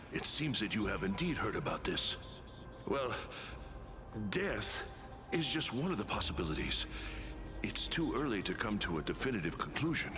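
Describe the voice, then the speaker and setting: Low-voiced, weary, courteous army officer. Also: male voice, big pitch swings, moderate pace, pauses often, music in the background. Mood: grave, weary. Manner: hesitant, formal, sighing. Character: weary courteous male military officer of an ancient kingdom. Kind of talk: video game story dialogue.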